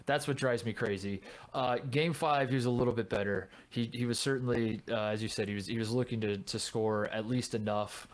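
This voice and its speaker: A man in his thirties co-hosting a basketball podcast, chatting casually. He rambles, restarts his sentences and drops swear words without a second thought. His voice sits at an ordinary middle pitch. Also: steady tone, a little fast, few pauses. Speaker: podcast co-host. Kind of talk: casual sports chat.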